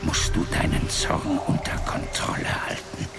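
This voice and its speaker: An actor playing an ancient, solemn wizard father in a fantasy radio drama, grave and measured, with a breathy half-whispering voice. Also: natural voice. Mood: solemn, urging. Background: background music.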